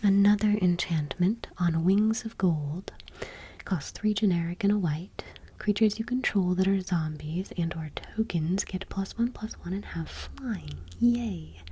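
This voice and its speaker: A woman doing ASMR, reading gently and unhurriedly in a breathy whisper, with casual asides. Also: lowish voice, measured pace, a little hiss or hum. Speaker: ASMR host.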